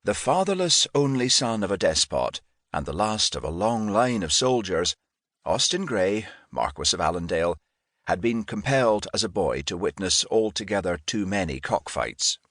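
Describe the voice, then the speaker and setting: A man's voice: on the low side, big pitch swings, moderate pace, fairly bright tone, dry recording, clean studio sound. A man reading historical fiction as a scripted literary audiobook narration.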